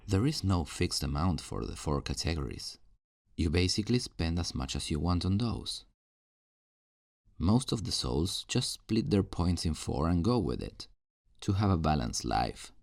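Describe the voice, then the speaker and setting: A man playing a calm, kindly afterlife assistant in an ASMR roleplay, patiently explaining things in a low, gravelly voice. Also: dry recording, studio quality, exaggerated voice. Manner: patient, reassuring, explanatory customer-service talk. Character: calm, kindly male afterlife assistant selling souls new lives. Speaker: voice actor in audio roleplay. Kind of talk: ASMR roleplay, soft-paced scripted one-sided conversation.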